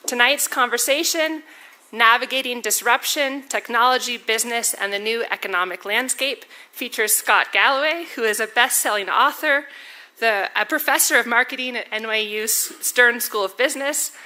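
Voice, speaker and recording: A woman giving brisk introductory remarks to an audience, a little out of breath. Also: on the high side, bright, forceful tone. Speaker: event presenter. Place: auditorium before a live audience.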